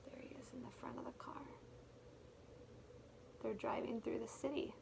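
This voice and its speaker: A woman teacher reading a picture book aloud to young children, expressively and deliberately, pausing to explain things in simple words. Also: on the high side, flat delivery, vocal fry, background noise. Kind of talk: read-aloud storytelling with explanatory asides.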